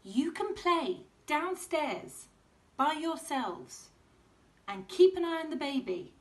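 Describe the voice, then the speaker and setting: A woman's voice: high voice, sing-song, takes it slowly, recorded from a distance, pitched above the performer's own voice, exaggerated voice. A woman reading aloud, voicing a weary, exasperated mother who wants peace. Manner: exasperated, sighing.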